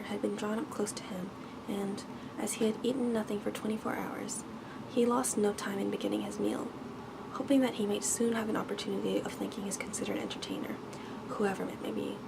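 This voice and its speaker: A soft-spoken woman reads a bedtime story in a gentle, careful ASMR style, often dropping toward a whisper. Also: mid-range voice, gravelly, background noise. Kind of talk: ASMR bedtime story reading, scripted narration.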